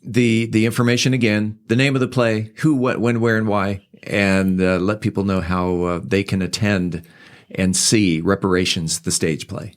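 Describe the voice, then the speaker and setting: A man's voice: lowish voice, fairly even intonation, normal speed. A man hosting a podcast in a studio, interviewing in a casual, conversational way. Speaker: podcast host.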